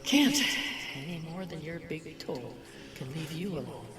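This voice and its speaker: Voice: medium pitch, very animated, measured pace, very noisy. An actor playing a mocking, sneering voice inside an old man's head in an audio horror drama, needling and slipping into whispers. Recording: roomy echo, distant, music behind.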